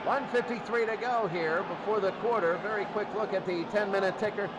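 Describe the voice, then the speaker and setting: A man calling football play by play in short, clipped, excitable phrases. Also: high voice, fairly quick. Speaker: play-by-play sports commentator. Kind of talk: live American football commentary. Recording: noisy crowd bed behind commentary.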